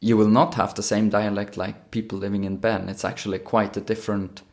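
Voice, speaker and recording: A man's voice: lowish voice, flat delivery, fairly quick. A man with a Swiss German accent, a native Swiss German speaker co-hosting a casual podcast, thoughtful and often restarting his phrases. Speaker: podcast co-host.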